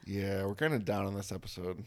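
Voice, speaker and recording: A woman's voice: a bass voice, fairly quick, darkish tone. A woman co-hosting a podcast, playful and dramatic in casual chat. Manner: playful, dramatic, swings her voice for jokes. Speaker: podcast co-host.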